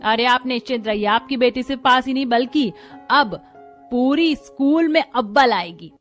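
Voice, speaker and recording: Woman acting a high-voiced, glib scheming housewife. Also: moderate pace, soft background music, close to an everyday voice. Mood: reassuring. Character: scheming, smooth-talking mother-in-law and daughter-in-law con artists. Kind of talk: acted dialogue in a moral story.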